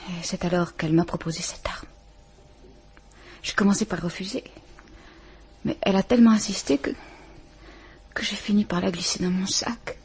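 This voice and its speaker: A frightened wife stands her ground with tense defiance. Her voice sits on the low side and sounds husky and rough. She speaks in the manner of an old radio drama. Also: steady tone, moderate pace, long pauses, darkish tone. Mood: subdued. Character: frightened but stubborn wife. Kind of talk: 1950s French radio drama acting.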